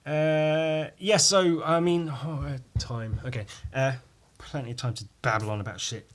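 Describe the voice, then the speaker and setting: A man with a croaky voice rambling casually on his own as a podcast host, informal and sweary, poking fun at himself and tacking questions onto his remarks. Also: fairly high pitch, steady tone, moderate pace. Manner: sweary, informal, self-deprecating, with tag questions. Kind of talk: casual rambling solo chat.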